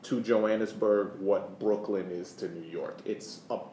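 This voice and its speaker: A man co-hosting a casual sports history discussion, explaining haltingly and often repeating words. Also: fairly low pitch, fairly even intonation, dark tone. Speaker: podcast co-host. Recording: echoey room, faint background noise.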